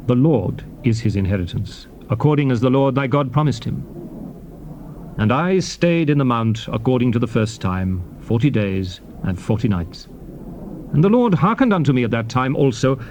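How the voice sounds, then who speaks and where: An actor playing a solemn Hebrew prophet and leader, addressing his people in formal, declamatory scripture oratory with measured phrasing. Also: mid-range voice, a little mellow, natural voice. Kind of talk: dramatized scripture reading, formal theatrical oratory. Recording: noisy old cassette tape transfer.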